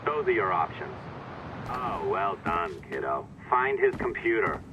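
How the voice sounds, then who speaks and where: An actor playing a scheming, taunting saboteur, sly and bossy, giving orders in a video game. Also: male voice, deep voice, sing-song, unhurried. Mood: sneering, bossy. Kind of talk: video game cutscene acting. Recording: dull radio-like sound with clear noise.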